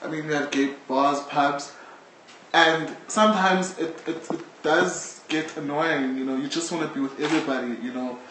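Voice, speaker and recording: A man's voice: a little high, steady tone, moderate pace, a bit bright. A man with a South African accent talking casually as an interviewee. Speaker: interviewee, audio drama creator. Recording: echoey room, distant mic, faint noise.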